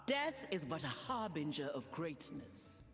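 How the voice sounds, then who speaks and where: A woman's voice: sits low, very animated, a little slow, breathy edge, exaggerated voice. A woman playing a proud, ruthless middle-aged warlord huntress in a video game, speaking in an imperious, solemn manner. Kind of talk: dramatic video game character voice lines. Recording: long reverb, music behind.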